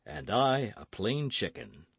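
A man voicing a refined, wry gentleman musketeer with a polite manner in a storybook reading. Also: fairly low pitch, very animated, slow, creaky, slightly rough, dry recording, full character voice.

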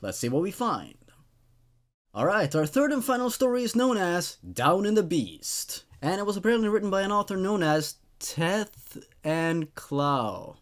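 Young man presenting horror stories. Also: sits high. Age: 20s. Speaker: host reading and reviewing horror stories. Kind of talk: dramatic story reading and casual commentary.

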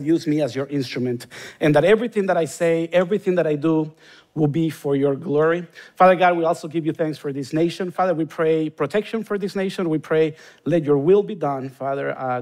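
A man's voice: fairly high pitch, a little mellow. A man in his forties from Honduras with a Honduran Spanish accent, a guest pastor preaching a sermon and praying, earnest and rising to emphatic bursts. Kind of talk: sermon with prayer. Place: large church stage, indoors.

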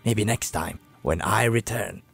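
A man voicing a genial, eager travelling merchant, husky, breathy and ingratiating, in game character style. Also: on the low side, a little slow, fairly bright tone, soft background music, full character voice. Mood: welcoming, obliging.

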